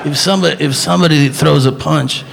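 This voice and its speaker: A man voicing a smug, self-important aging action-star cop with slow, low, self-serious muttering. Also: vocal fry, very noisy. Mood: muttering instruction.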